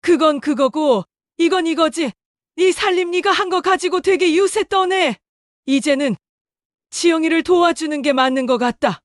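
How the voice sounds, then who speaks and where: A woman's voice: extremely high pitch, moderate pace, no room sound, clean studio sound. A woman playing a domineering, biased elderly mother-in-law in an audio drama, with a scolding, dismissive way of talking. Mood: scornful. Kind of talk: Korean audio drama acting.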